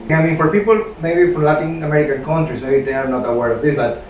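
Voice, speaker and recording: A man's voice: fairly high pitch, fairly even intonation, fast, a little mellow, a little hiss or hum. A man co-hosting a casual interview, asking questions in a friendly, inquisitive way. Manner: friendly, inquisitive, laughs often. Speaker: co-host. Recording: echoey room, voice from far off.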